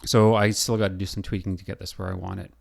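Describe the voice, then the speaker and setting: A man with a hoarse, scratchy voice, losing his voice, talking through a software design tutorial and thinking aloud with lots of pauses and fillers. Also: sits low, flat delivery. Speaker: building designer.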